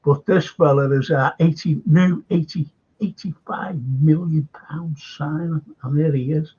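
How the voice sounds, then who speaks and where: A man's voice: on the high side, steady tone, a little slow, mellow, husky. Rambling older man, dull lo-fi sound. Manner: rambling, chatty, full of "you know". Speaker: host. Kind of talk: casual fan chat show.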